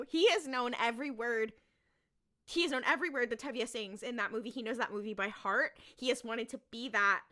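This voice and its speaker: A woman with a bright, forceful voice pitched a bit high. She talks casually on a comedy podcast, making emphatic fan arguments and repeating phrases for weight. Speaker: podcast panelist.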